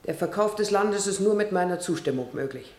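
An actress playing a firm, aggrieved wife giving formal testimony in a radio play. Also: female voice, medium pitch, lively intonation, a little fast, a little mellow, reverberant, natural voice. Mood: resolute. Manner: formal, resolute. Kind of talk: German radio play acting.

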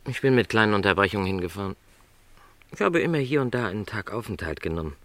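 Deep-voiced modest travelling salesman. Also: lively intonation, quick pace, fairly bright tone, no room sound. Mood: gently encouraging. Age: middle-aged. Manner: earnest, reassuring, formal wording. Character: gentle middle-aged travelling salesman, modest and quietly content. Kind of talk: literary radio play dialogue.

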